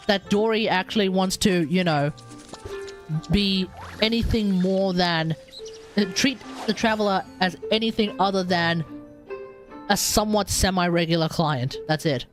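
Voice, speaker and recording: Rambling Australian woman streamer, mid-pitched. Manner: informal, rambling, self-repeating. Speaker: game livestreamer. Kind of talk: casual live game commentary to chat.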